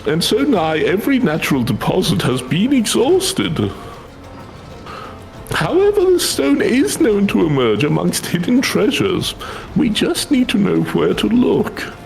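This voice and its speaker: A man's voice: high voice, vocal fry, heavily acted, rough recording. Man putting on a swooping, grandiose fantasy scholar. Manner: formal, theatrical, archaic phrasing.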